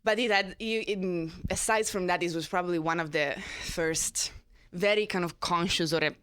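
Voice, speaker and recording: Woman, Italian accent, lowish voice, dry close sound, personal storytelling, self-deprecating. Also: moderate pace, fairly bright tone, clear, resonant tone, no room sound. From Italy. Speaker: panel speaker. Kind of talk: personal storytelling to a live audience.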